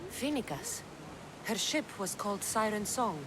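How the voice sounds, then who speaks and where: A woman's voice: medium pitch, animated, a little slow, background noise, natural voice. A woman playing a determined, vengeful young Greek mercenary in a video game, with terse, resolute delivery. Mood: purposeful. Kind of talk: video game cutscene acting.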